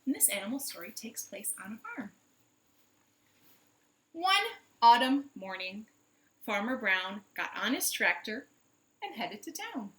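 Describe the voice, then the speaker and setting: A woman's voice: on the high side, very animated, measured pace, long pauses, a bit bright. A woman hosting storytime for young children, speaking expressively in simple phrases and questions. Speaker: children's library storytime host. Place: living room at home. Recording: roomy, echoey home recording.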